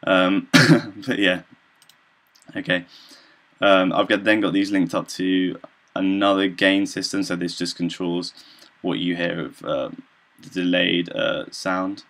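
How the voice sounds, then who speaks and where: A young man giving an informal student demonstration of software, talking in a hesitant, halting, loosely explanatory way. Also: deep voice, steady tone. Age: young adult. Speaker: student presenter.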